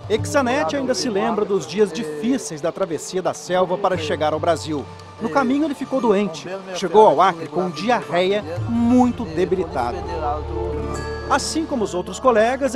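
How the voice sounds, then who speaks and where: A man narrating a television news report in a measured, deliberate manner. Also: high-pitched. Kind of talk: broadcast news narration and field interviews.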